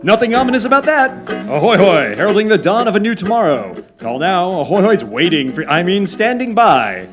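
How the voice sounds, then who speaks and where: A man's voice: high voice, big pitch swings, fairly quick, music in the background. A man playing a theatrical, upbeat old-time radio announcer with a salesman's delivery.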